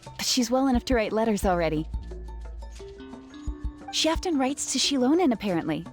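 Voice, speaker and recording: A woman playing an earnest, grateful gem artisan in a video game, telling things in a soft-hearted way. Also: fairly high pitch, big pitch swings, moderate pace, soft background music. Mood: warm, reflective.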